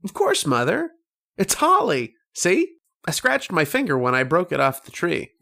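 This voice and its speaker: A man playing a dreamy, insistent young boy in an old-time radio drama, speaking in a halting, earnest, childlike way. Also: high-pitched, wildly swooping pitch, gravelly, pushed and bright, exaggerated voice.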